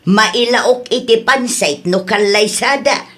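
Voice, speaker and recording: A woman's voice: fairly high pitch, slow, a bit bright. A woman playing a plain-spoken, reproving village woman in a comedy audio drama, with a Filipino accent and a chiding manner.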